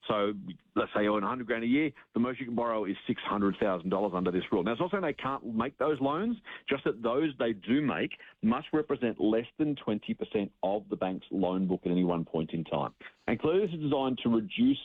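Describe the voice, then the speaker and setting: Over a narrow, phone-like line, an Australian man gives radio commentary. His voice is on the low side, and he explains things informally, with examples and asides. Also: animated, brisk, no room sound. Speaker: finance commentator, radio guest.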